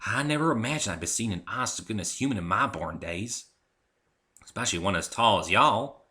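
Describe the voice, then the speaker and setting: A man's voice: on the low side, lively intonation, brisk, bright, forceful tone. A man voicing a friendly young female farm pony with a folksy US Southern drawl in a story reading. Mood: amazed. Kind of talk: dramatic fan fiction reading.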